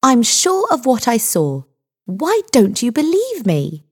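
A woman's voice: on the low side, lively intonation, moderate pace, natural voice. A woman voicing an indignant sixteen-year-old girl in a story, firm, impatient and emphatic. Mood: angry. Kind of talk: audiobook character dialogue.

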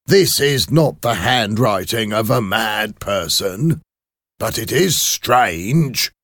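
A man's voice: fairly low pitch, lively intonation, slow, fairly bright tone, dry recording, clean studio sound, voice pushed up, exaggerated voice. A man voicing a deferential, observant head clerk in a storybook, polite and emphatic. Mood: polite, curious. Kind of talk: audiobook character acting.

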